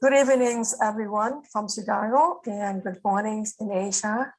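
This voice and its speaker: A woman's voice: medium pitch, normal speed, rough recording. A woman, a committee vice chair giving a hesitant recorded welcome with fillers. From Vietnam.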